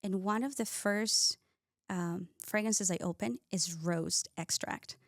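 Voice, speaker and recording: A woman's voice: medium pitch, slow, dry recording, studio quality. Ecuador-raised woman telling her story. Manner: warm storytelling with frequent "uh" and small grammar slips. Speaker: guest, cosmetic scientist. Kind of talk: casual interview talk.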